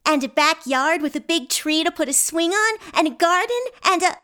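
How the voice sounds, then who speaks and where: A woman's voice: extremely high pitch, animated, moderate pace, pushed and bright, heavily acted. A woman playing a precocious little girl in a comic radio play, dry and world-weary. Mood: wistful.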